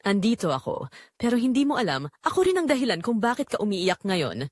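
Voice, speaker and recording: A woman voicing an outwardly caring, secretly triumphant female friend in a romantic audio drama, speaking in a soft, consoling way. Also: mid-range voice, sing-song, fairly quick, no echo, clean studio sound. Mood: soothing, secretly smug.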